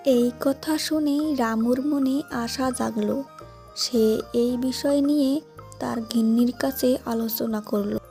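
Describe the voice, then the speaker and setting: Slow-paced woman narrating a children's story. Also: on the high side, steady tone, mellow. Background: music.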